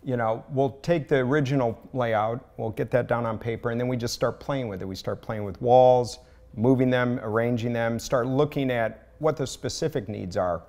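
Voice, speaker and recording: A man's voice: mid-range voice, normal speed, darkish tone. A middle-aged man with a Southern US accent, a contractor explaining a remodel in a casual interview, with hesitant fillers. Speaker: building contractor, guest. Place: large home kitchen, indoors.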